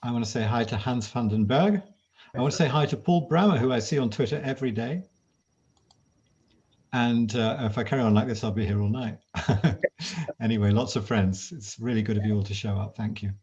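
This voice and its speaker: A man on an online meeting, greeting people by name in a relaxed way, with light humour. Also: mid-range voice, fairly quick. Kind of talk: casual chat. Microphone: video call. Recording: muffled video call audio.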